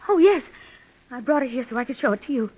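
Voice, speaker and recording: An actress playing an unhinged, hysterical woman in a 1940s radio mystery, halting and repeating herself breathlessly. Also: high voice, animated. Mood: nervous, brittle. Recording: dull, lo-fi vintage radio recording.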